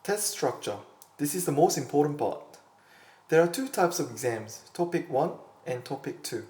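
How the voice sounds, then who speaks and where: Man, teacher, steady explanatory delivery, roomy echo. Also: a little high, moderate pace. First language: Korean. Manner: steady, explanatory, addresses viewers directly. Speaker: Korean language teacher. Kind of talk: scripted online lesson.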